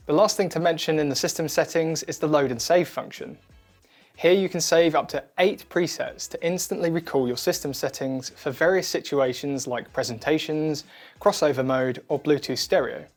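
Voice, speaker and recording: Higher-voiced man narrating a product demo over music. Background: background music.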